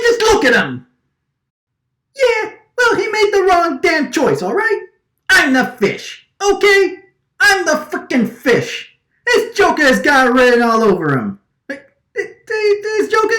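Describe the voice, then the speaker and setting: A woman's voice: extremely high pitch, bright, forceful tone, roomy sound, full character voice. A woman acting an agitated, stammering wiseguy man with a vacuum for a face, recording radio drama lines. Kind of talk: radio drama line takes, gangster-movie wiseguy delivery.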